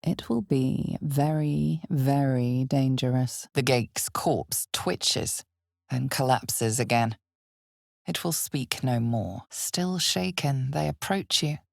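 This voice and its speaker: A grave, ominous female narrator for a dark fantasy video game. Her voice sits very low with a gravelly fry at its edges. She delivers each scripted line slowly and dramatically, with measured weight. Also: no room sound, studio quality, heavily acted.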